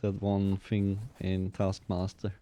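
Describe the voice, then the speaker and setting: Joking, mellow-voiced Austrian caster. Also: male voice, low voice, monotone, slow. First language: German. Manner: joking, laughs often, rambling asides. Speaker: esports caster. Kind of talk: casual game commentary.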